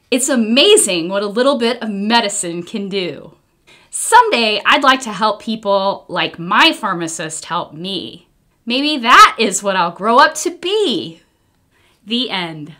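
A middle-aged American woman reading a children's book aloud in an expressive, deliberate way. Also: medium pitch. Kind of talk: read-aloud storytelling, scripted. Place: small indoor room, wall covered in papers.

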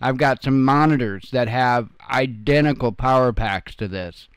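A man's voice: mid-range voice, measured pace, clear, resonant tone, no echo. A man hosting informal, unscripted news commentary in a blunt, folksy way with some salty language. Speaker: host of an online news commentary channel.

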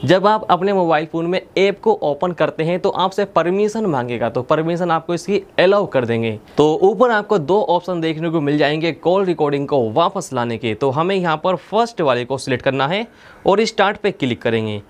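A man's voice: a little high, lively intonation, normal speed. A young man presenting a tech tutorial step by step, in a methodical, instructional manner. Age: young adult. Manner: instructional, repeats points methodically. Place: indoor studio with plain blue backdrop.

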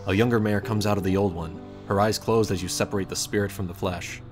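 A man narrates a fan fiction story in a low-pitched voice. He tells it dramatically, as a storyteller addressing the listener, while music plays clearly behind him. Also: fairly even intonation, quick pace. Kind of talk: scripted narration of fan fiction in second person.

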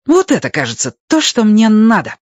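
A man's voice: sits high, wildly swooping pitch, normal speed, no room sound, muffled recording, pitched above the performer's own voice, full character voice. A man voicing a curious, cautious young boy muttering his thoughts in an audio storybook. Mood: gleeful.

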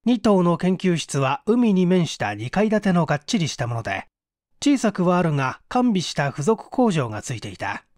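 Man, audiobook narrator, fairly high voice, lively melodic delivery, dry close sound. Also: normal speed, no echo. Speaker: audiobook reader. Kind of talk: scripted storytelling narration of a Japanese mystery novel.

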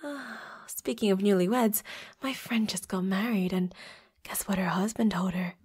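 A woman's voice: mid-range voice, moderate pace, a little mellow. A woman voicing a flirty, playfully predatory vampire roommate in an intimate audio roleplay, with a coy, teasing manner. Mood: coy, secretive. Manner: coy, giggly, teasing, drops into breathy whispers.

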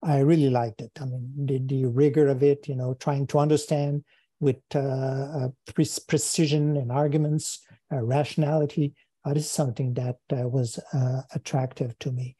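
An older man from Quebec with a Quebec French accent, a native French speaker, answering interview questions over a video call from his study, thoughtful and halting, often repeating words. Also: mid-range voice, little pitch movement, mellow, husky, dry recording. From Quebec, Canada. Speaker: guest philosopher. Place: home study with bookshelves. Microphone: earphone mic on video call.

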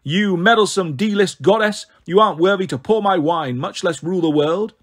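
A man voicing an imperious, whiny goddess queen in an audiobook, shouting with haughty scorn. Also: high voice, moderate pace. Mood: outraged.

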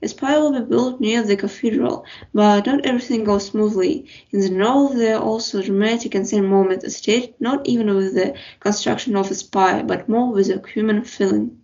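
A woman's voice: medium pitch, normal speed, hardly any pauses, darkish tone, lo-fi sound. A young woman reading a scripted book introduction as a student presenter. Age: young adult.

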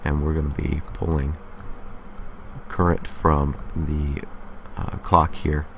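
A man with a remarkably deep, low-pitched voice presents a casual game tutorial. He explains each step methodically, pausing to confirm before moving on. A light layer of noise sits behind his voice. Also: little pitch movement, gravelly. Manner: methodical, explanatory, checks in with "okay". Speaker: tutorial host.

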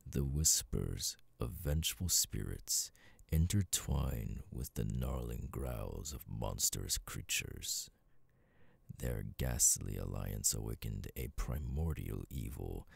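A man performing an ominous horror narrator, reading in a grave, dramatic, drawn-out and florid way. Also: extremely low pitch, lively intonation, vocal fry, husky, no echo, pitched below the performer's own voice, heavily acted.

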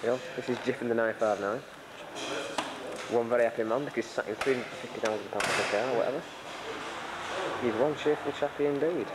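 Chatty man touring a noisy workshop. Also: mid-range voice. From United Kingdom. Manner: chatty, pointing things out with dry humour. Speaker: visitor filming a factory tour. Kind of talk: casual handheld tour commentary. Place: large indoor car workshop. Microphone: handheld camcorder mic. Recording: loud workshop noise behind. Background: workshop and engine noise.

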